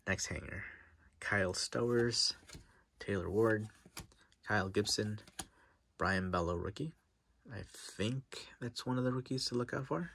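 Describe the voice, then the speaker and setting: A man's voice: fairly low pitch, unhurried, gravelly, pauses often, a bit bright, a little hiss or hum. A middle-aged man with a stuffy nose chatting casually as he opens packs of cards at his desk, reading out names and sometimes dropping to a near-whisper. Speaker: card-opening vlogger. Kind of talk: casual commentary while opening card packs. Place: desk in front of a wall of card boxes.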